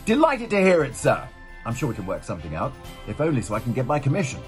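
A brisk, cheeky showbiz agent in a comic pantomime. He is mock-formal and flippant with a very lively melody, over faint music. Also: medium pitch.

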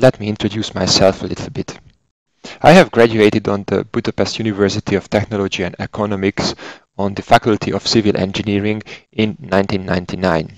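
A man in his forties with a Hungarian accent, narrating an instructional course in a methodical, explanatory way as a software trainer. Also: on the low side, dry recording, low-quality recording. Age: 40s. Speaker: software trainer and consultant engineer.

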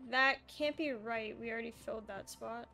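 High-voiced young woman gaming. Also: moderate pace, gravelly, music in the background. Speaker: gamer playing a co-op puzzle game. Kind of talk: casual gameplay chat.

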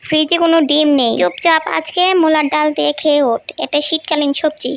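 No-nonsense cartoon mum acted by a woman, high pitch, insistent and scolding. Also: fairly even intonation, brisk, vocal fry. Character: firm, no-nonsense mother of a young boy. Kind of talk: cartoon voice acting.

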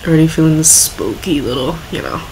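A woman's voice: low-pitched, lively intonation, fast, noisy background. A woman giving casual, offhand reaction commentary and reading text aloud as a video host.

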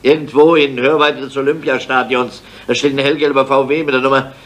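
An actor playing a gruff, bitter and driven police commissioner in a 1970s crime radio drama, grumbling and urgent. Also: male voice, medium pitch, steady tone, brisk, a bit bright, close to an everyday voice.

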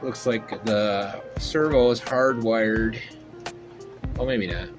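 A man's voice: fairly low pitch, fairly even intonation, slow pace, over music. A man hosting a casual hobby video at his home workshop bench, thinking aloud with lots of fillers as he handles his project. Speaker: RC hobby channel host. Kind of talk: casual workbench show-and-tell.

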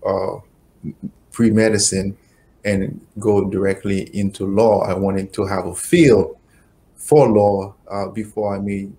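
Middle-aged man, low voice, very slow halting delivery with lots of uh's, webcam call from a home office. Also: fairly even intonation, mellow, a little hiss or hum, low-quality recording. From Haiti. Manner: slow, halting, many fillers. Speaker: guest, attorney. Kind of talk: reflective interview answers. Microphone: webcam video call.

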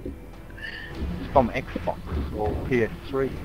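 A man's voice: mid-range voice, very slow, over music. A man taunting and swearing at other players in online game chat. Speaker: online opponent. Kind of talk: aggressive game-chat trash talk.

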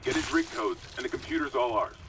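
An actor playing a stern, protective squad leader, brisk and commanding over a game radio link. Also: male voice, medium pitch, recorded from a distance, over music, natural voice. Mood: urgent, commanding.

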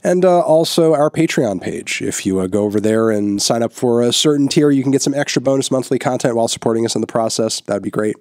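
A man's voice: mid-range voice, quick pace, hardly any pauses, dry recording, studio quality. Stuffy-nosed man reviewing songs. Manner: relaxed, frequent filler words, blunt verdicts. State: stuffy nose, sick. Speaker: music reviewer host. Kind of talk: casual opinionated music review. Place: small indoor room, newly set up. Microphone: large studio microphone on boom in front of him.

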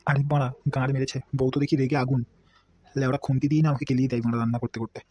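A man's voice: mid-range voice, fairly even intonation, racing, highs cut off, natural voice. A man voicing a foul-mouthed, hot-tempered middle-aged father in a comic cartoon, grumbling and cursing. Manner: crude, grumbling, shouts threats. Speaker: voice actor. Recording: noisy homemade recording.

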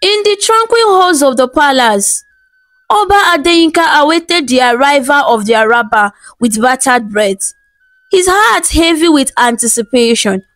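A woman telling a scripted folktale as narrator, in a measured, dramatic storybook delivery. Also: high voice, animated, fairly bright tone, no echo, low-quality recording. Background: background music.

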